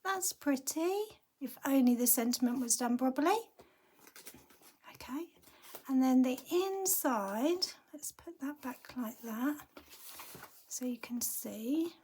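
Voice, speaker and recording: A slow, unhurried woman walks through a papercraft card at her craft desk, her voice husky and croaky as though she is losing it. She talks in a chatty, casual way and keeps dropping into soft, breathy murmurs while she works. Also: sits high, lively intonation. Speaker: card-making tutor.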